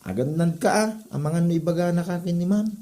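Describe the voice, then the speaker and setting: A woman's voice: lowish voice, fast talker, mellow, close to an everyday voice. A woman with an Ilocano accent playing a gossipy classmate who chides a troublemaker in a comedy drama. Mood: chiding. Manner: chiding, gossipy.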